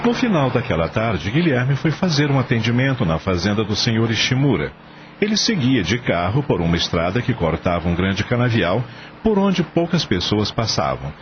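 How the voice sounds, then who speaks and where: A man's voice: mid-range voice, big pitch swings, normal speed, a little hiss or hum. A man narrating a radio novel as scripted dramatic storytelling. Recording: dull radio-like audio, music behind.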